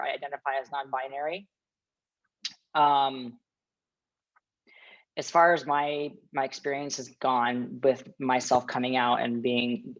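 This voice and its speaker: A woman panelist speaking candidly and chattily over a video call. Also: rumbling low. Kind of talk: casual panel sharing. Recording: dull lo-fi call audio.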